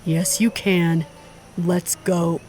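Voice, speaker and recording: An actress playing a determined, bossy leader of a wandering group in an audio drama, with a commanding, insistent delivery. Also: female voice, lowish voice, little pitch movement, drawn-out words, darkish tone, faint music behind. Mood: urgent. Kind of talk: audio drama acting with narration.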